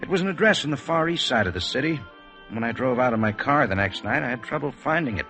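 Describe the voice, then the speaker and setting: A man's voice: medium pitch, bright, forceful tone. An actor playing an earnest, wistful advertising man in a 1950s radio drama, dramatic and introspective but polite. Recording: vintage 1950s radio, music behind.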